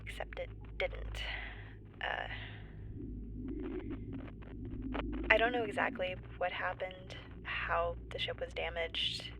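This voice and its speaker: A woman playing a nervous, wry stranded geologist in a sci-fi audio drama, halting and breathy, often near a whisper. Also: fairly low pitch, steady tone, rough recording. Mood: halting, resigned. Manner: halting, awkward, wryly understated. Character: stranded woman, nervous civilian geologist keeping an emergency log. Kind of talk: found-footage sci-fi audio drama monologue.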